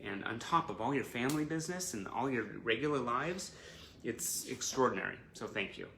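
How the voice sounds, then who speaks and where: A man with a bright, mid-pitched voice hosts a casual live stream for fellow fans. He is effusive and encouraging, chatting to his audience directly. The sound is echoey, as from a reverberant room, with faint noise behind him. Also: animated, brisk. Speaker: host of a fan livestream show. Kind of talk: casual livestream chat to fans. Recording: roomy echo, faint background noise.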